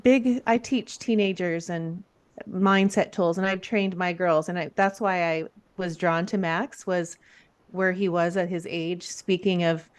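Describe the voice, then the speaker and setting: A woman's voice: fairly low pitch, fairly even intonation, measured pace. A woman hosting an interview podcast, talking in an effusive, rambling way and often restarting her sentences. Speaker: podcast host. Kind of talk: casual interview.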